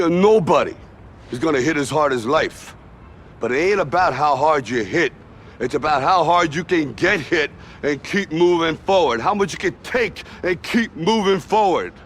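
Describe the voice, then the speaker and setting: A man's voice: on the high side, expressive, moderate pace, fairly bright tone. An ageing, plain-spoken, tough-love father. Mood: impassioned, rousing. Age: older. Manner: plain working-class speech with dropped grammar. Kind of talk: naturalistic film drama monologue. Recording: film audio with faint background noise.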